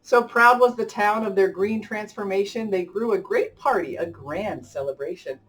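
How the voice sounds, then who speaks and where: A woman's voice: mid-range voice, moderate pace, a bit bright. Enthusiastic woman hosting children's story time, distant mic. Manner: enthusiastic, addressing children directly. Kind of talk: picture-book read-aloud and chat for children.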